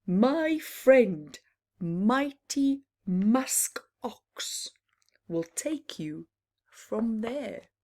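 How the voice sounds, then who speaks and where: A woman's voice: a little high, lively intonation, extremely slow, dark tone, full character voice. A woman voicing a hearty, proud male walrus in a children's storybook, proclaiming his helpful directions in a bellow.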